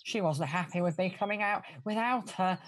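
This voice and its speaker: A woman's voice: fairly low pitch, moderate pace, a little hiss or hum, lightly acted. A woman playing a simple, devoted village husband, earnest and naive, in a radio pantomime.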